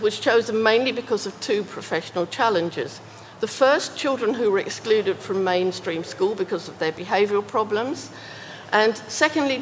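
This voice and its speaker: A woman giving an academic talk on research case studies, speaking in a measured way and reading quoted passages aloud. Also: medium pitch, big-room sound, a little hiss or hum, poor audio. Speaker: academic presenter.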